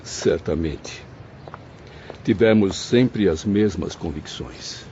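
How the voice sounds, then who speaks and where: A man's voice: low-pitched, slow, mellow, a little hiss or hum. A man playing a grieving spirit, a former professor longing for his mother, speaking in an anguished, pleading way in a dramatized audiobook. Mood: subdued agreement. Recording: dull lo-fi audio with music behind.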